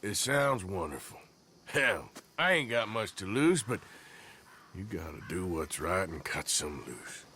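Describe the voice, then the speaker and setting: A man's voice: deep voice, big pitch swings, a little slow, bright, forceful tone, slight background noise, heavily acted. An actor playing a weary, blunt American outlaw gunman in a dramatic video game, talking in a plain-spoken, folksy way. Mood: weary, firm.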